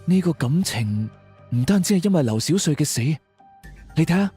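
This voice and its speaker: Man playing a husky, higher-voiced calm investigator. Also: moderate pace. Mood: grave, analytical. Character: analytical investigator colleague, calm and perceptive. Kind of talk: audio drama dialogue. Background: background music.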